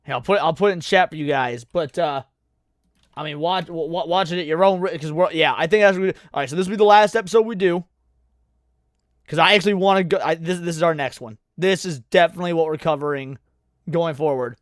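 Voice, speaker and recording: A man with a slightly high voice hosts a stream in a very dry-sounding space. He comments casually and informally, like someone reacting as he watches. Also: fast talker, no echo. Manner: informal, swearing. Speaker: livestream host.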